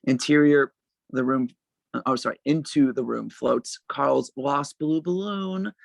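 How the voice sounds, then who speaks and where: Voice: medium pitch, unhurried, vocal fry, no echo. A narrator reading screenplay stage directions aloud for a table read in a plain, descriptive manner.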